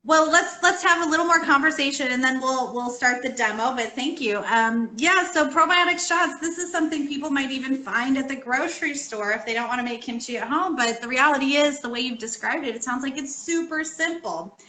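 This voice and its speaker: A woman hosts a casual interview about fermented foods and asks her guest upbeat, friendly questions. Her voice is high and bright, with a crisp edge. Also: a little fast, few pauses, poor audio. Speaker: host.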